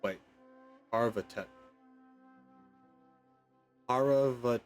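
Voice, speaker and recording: A man streams a video game in an informal, rambling way, at a middling pitch. He thinks out loud and reads on-screen text aloud, with music clearly playing behind his voice. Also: takes it slowly. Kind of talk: casual let's play commentary, reading in-game text aloud.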